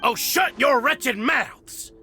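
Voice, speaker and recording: An actor playing a tyrannical prison gang boss in a video game, theatrical, swinging from sneering menace to screamed rage. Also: male voice, very high-pitched, takes it slowly, bright, forceful tone, faint music behind, heavily acted. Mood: enraged. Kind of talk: dramatic video game voice acting.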